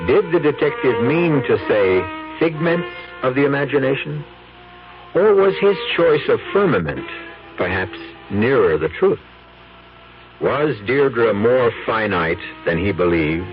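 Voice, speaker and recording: A man hosting a 1970s radio mystery show, with ominous, dramatic narration and slow emphasis. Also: medium pitch, big pitch swings. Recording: dull vintage radio broadcast with music.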